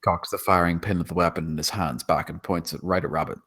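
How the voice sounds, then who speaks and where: A man's voice: sits low, fairly even intonation, fast talker, a bit bright, slightly rough. A man reading scene descriptions as the narrator of a screenplay table read. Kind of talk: scripted narration of stage directions.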